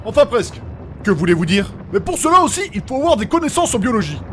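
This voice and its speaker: An actor playing a pedantic, easily exasperated explainer in a humorous fantasy audio drama, with drawn-out, hesitant explanations. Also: male voice, high-pitched, very animated, slight background noise. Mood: explaining.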